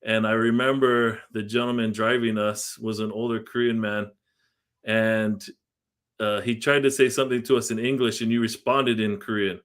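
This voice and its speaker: A man's voice: fairly low pitch, level tone, normal speed. A man hosting a podcast, chatting casually with a guest and laughing along as he reminisces. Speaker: podcast host. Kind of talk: casual interview chat.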